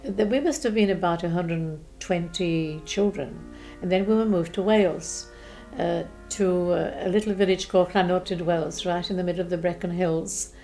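An elderly woman in her eighties from Czechoslovakia recalls the past in a mellow, soft-toned voice. She speaks gently and unhurriedly, pausing and restarting often, with faint music under her. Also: on the low side, steady tone. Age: 80s. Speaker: interviewee. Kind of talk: recounting childhood memories. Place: living room, seated in armchair.

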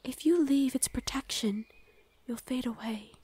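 A woman plays a gentle fae lady of the forest in an ASMR roleplay. Her voice sits fairly high and is very breathy, often slipping into a whisper. She speaks soothingly, like a protector caring for someone. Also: measured pace. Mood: soothing, apologetic.

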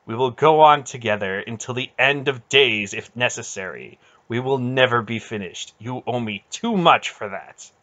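A man's voice: fairly low pitch, measured pace, sharp tone. Man voicing a stern, imperious ancient sorceress. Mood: wounded, intense. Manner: commanding, cutting, intense. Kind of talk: dramatic audiobook character voice.

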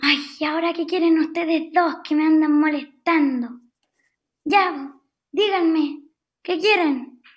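Young-sounding female playing a high whiny pampered cat. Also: takes it slowly. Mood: annoyed, reluctant. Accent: Chilean. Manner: whiny, reluctant. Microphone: video call. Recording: dull video-call audio.

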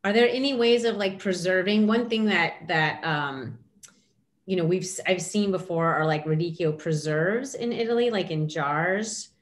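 A middle-aged woman hosting a casual video call chat, talking in a chatty, animated way with lots of asides. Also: lowish voice, normal speed. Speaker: host. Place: home room indoors. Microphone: video call, webcam.

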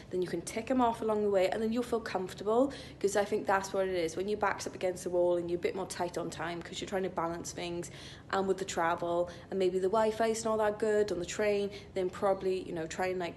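A woman's voice: mid-range voice, darkish tone, a little hiss or hum. A young woman talking in a casual, chatty, rambling vlog style into a phone held at arm's length, peppering her speech with "like". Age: young adult. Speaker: vlogger, IT consultant. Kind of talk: casual vlog chat to camera. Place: indoor gym locker room.

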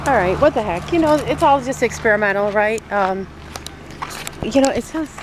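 A woman's voice: fairly high pitch, normal speed. Chatty middle-aged woman, noisy vlog audio. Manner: chatty, musing aloud, full of wonder. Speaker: rideshare driver and vlogger. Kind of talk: casual off-the-cuff vlog narration. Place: moving car with windows down, later open barn. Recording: noisy handheld camera audio with car and wind.

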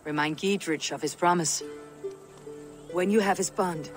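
Bossy viking warrior in a game cutscene, higher voice, stern and blunt, music behind. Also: male voice, unhurried. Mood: commanding. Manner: blunt, commanding. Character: stern, commanding young Viking warrior leader. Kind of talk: video game cutscene acting.

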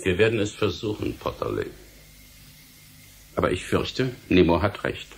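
An actor playing a stern, exasperated government official in a radio play, lecturing in an emphatic, theatrical, rhetorical way. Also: male voice, low-pitched, big pitch swings, moderate pace, vocal fry, breathy edge, heavily acted. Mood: bitter, resigned. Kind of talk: German radio play acting.

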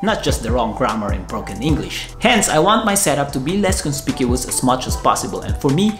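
Filipino-accented man, lively, roomy home sound. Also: medium pitch, a little fast, music in the background. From Philippines. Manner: candid, blunt opinions. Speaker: tech vlogger. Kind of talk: casual gear review vlog. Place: living room at home.

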